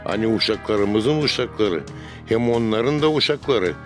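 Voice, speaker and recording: Lowish-voiced wise older family man male actor. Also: lively intonation, normal speed, faint music behind, lightly acted. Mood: solemn, reassuring. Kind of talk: solemn educational storytelling.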